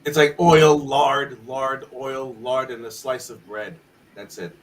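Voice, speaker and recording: A man's voice: medium pitch, steady tone, slow, recorded from a distance, rough recording. A man bantering on a live art stream in a goofy, joking way.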